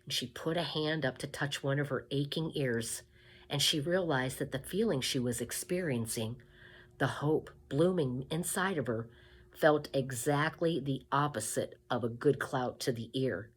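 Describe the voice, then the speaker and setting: A woman reading a children's story aloud expressively, with deliberate emphasis, addressing the listener directly. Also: a bass voice, slight background noise.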